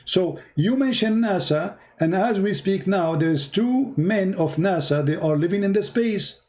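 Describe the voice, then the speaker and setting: A man's voice: high-pitched, lively intonation, unhurried, darkish tone. A man whose first language is Arabic, hosting a heated religious debate, mocking and insulting the other side and hammering the same demands again and again. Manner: hammers the same question over and over. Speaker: debate host.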